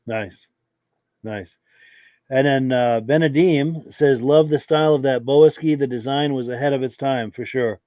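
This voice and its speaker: A man hosting a live podcast who has a cold and sounds hoarse, reading out viewer comments with short, easygoing reactions. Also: medium pitch, measured pace, no room sound. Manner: relaxed, reads comments aloud with brief reactions. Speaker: podcast host.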